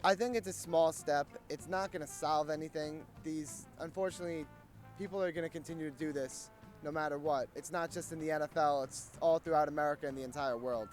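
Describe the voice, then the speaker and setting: A man's voice: fairly high pitch, fairly even intonation, a little fast. A nineteen-year-old man giving blunt, firm opinions in a street interview. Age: young adult. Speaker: student interviewee. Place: outdoors on a college campus. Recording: faint music underneath.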